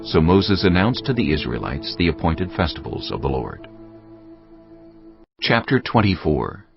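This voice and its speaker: A man's voice: low voice, sing-song, unhurried, vocal fry. A man narrating scripture from a script as solemn dramatized storytelling. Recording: dull lo-fi audio, music underneath.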